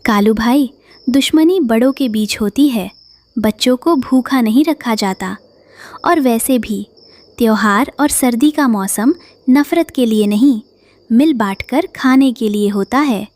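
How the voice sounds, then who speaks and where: Kind, forgiving mother bird female actor, slightly high, dry recording. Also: unhurried, darkish tone, no echo, natural voice. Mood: gentle. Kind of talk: Hindi cartoon voice acting.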